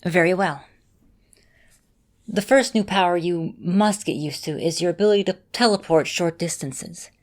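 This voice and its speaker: Woman acting as vampire mistress, female, lowish voice, caring mentor, composed, formal phrasing, ASMR roleplay. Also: normal speed, lightly acted. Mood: calm, instructive. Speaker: voice actor in a roleplay audio. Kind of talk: ASMR roleplay, speaking to the listener.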